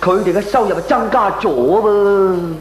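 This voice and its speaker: A man's voice: sits high, unhurried, faint music behind. An actor playing a frugal, good-humoured ordinary man, explaining things expressively in comic radio drama with a Hong Kong accent. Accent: Hong Kong Cantonese. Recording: echoey old radio drama recording.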